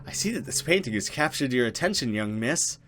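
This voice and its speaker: A man's voice: medium pitch, very animated, a little fast, fairly bright tone. A man voicing a creepy, courteous stranger in a visual novel, with formal, florid, unctuous phrasing. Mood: creepy, rapt. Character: creepy, courteous man enamored of a mysterious painting. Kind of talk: visual novel dialogue read aloud.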